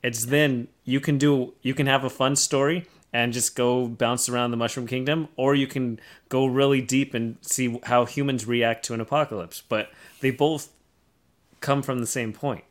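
A man's voice: mid-range voice, steady tone, moderate pace. A man co-hosting a podcast, talking casually and giving his opinions in an explanatory, emphatic way. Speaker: podcast co-host.

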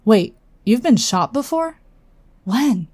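An actress playing a loyal, protective guardian in a sci-fi audio drama, frank and teasing, like a close friend. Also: female voice, fairly high pitch, sing-song, takes it slowly, darkish tone, no room sound, exaggerated voice. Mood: exasperated concern.

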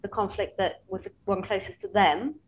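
A woman's voice: mid-range voice, steady tone, moderate pace, a little hiss or hum, poor audio. Woman podcast host with hesitant "um"s. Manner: warm welcoming questioner with hesitant "um" starts. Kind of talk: live chat interview.

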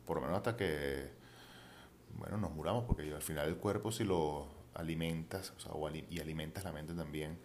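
A man's voice: rumbling low, fairly even intonation, brisk, darkish tone, slight background noise. A man co-hosting a casual chat, rambling and restarting his sentences. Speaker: podcast co-host.

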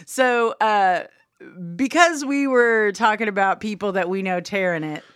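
A woman's voice: mid-range voice, a little slow, fairly bright tone, smooth, dry recording, clean studio sound. Chatty Oklahoma woman radio host. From Southwest Oklahoma. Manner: chatty, laughs often, teasing. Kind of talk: casual chat.

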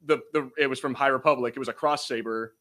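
A man co-hosting a podcast, telling stories in a casual, animated, self-deprecating way with some swearing. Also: medium pitch, fast talker. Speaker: podcast co-host. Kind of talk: casual banter, storytelling.

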